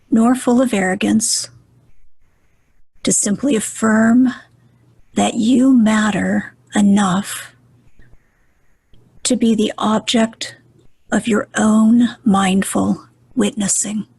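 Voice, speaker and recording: Slow, breathy woman guiding meditation. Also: mid-range voice, dry recording, poor audio. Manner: gentle, soothing, deliberate with pauses. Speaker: meditation guide.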